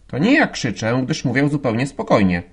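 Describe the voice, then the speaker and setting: A man's voice: medium pitch, big pitch swings, a little fast, vocal fry, slightly rough, pitched above the performer's own voice, exaggerated voice. A man voicing an irritated, defiant young student protesting indignantly in an audiobook. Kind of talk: audiobook character acting.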